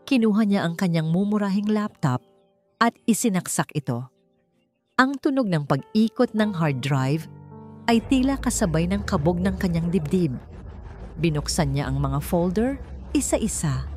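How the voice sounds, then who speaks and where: Low-voiced woman narrating a dramatic love story. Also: expressive, measured pace, a little mellow, soft background music. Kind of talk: dramatic scripted romance narration.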